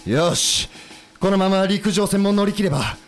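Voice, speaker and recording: Earnest, animated commander Enomoto. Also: male voice, high-pitched, normal speed, soft background music. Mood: excited. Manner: polite, earnest. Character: earnest, idealistic commander-in-chief Enomoto, polite and anxious. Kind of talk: historical audio drama acting.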